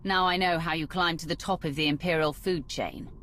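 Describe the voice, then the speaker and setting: Ruthless woman warrior, low voice, sardonic, menacing, smug, game cutscene, slight background noise. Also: fairly even intonation, fairly quick, fairly bright tone. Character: ruthless, sardonic battle-hardened female warrior commander. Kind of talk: video game cutscene acting.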